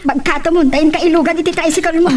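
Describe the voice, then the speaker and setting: Fussy Filipino mother of a grown son, played by a woman, high voice, exclamatory, noisy audio-drama recording. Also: fairly even intonation, fast. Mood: panicked. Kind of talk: Ilocano radio-style drama acting. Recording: clear background noise.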